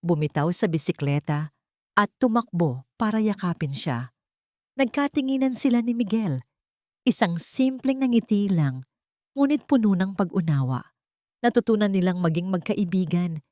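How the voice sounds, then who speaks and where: A woman with a Filipino accent reading a scripted dramatic story in a sentimental, measured storytelling delivery. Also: medium pitch, animated, mellow, no room sound, studio quality.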